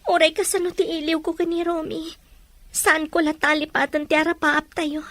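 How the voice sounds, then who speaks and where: A woman's voice: very high-pitched, flat delivery, normal speed, fairly bright tone, dry recording. A woman with a Filipino Ilocano accent playing a plaintive young student who longs for her missing sweetheart in a drama. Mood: grieving, longing. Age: young adult. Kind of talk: drama dialogue.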